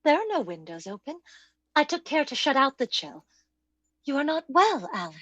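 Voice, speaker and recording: A woman playing a gentle, fearful, earnest sister who believes in spirits, acted theatrically in old-time radio drama style. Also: high-pitched, big pitch swings, moderate pace, dry recording, dull audio. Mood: concerned.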